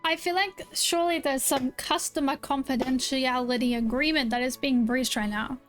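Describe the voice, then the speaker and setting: A woman streamer reacting to a game with loud, playful interjections. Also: high voice, fairly even intonation, normal speed, soft background music. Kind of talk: live reaction commentary.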